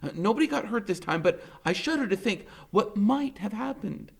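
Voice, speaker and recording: A man's voice: high-pitched, expressive, moderate pace, gravelly, slightly rough, voice pushed up, full character voice. A man voicing an anxious, fussing grandmother in an audiobook, with a fretful, imploring manner. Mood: worried, insistent. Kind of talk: audiobook character voice.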